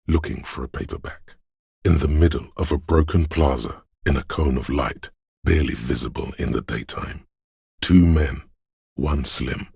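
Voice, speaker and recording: A brooding spirit of a city, narrating a video game in hushed, poetic lines. The voice is extraordinarily deep and thick with gravel, recorded close and dry. Every phrase carries slow, ominous weight. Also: male voice, no room sound, heavily acted. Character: brooding omniscient spirit of a city, an inner sense. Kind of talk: literary game narration in second person.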